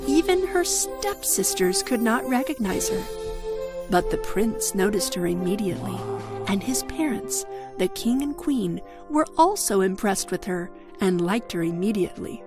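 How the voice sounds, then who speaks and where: A woman's voice: mid-range voice, big pitch swings, moderate pace, music in the background. A woman with an American accent narrating a scripted fairy tale for children. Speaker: storyteller.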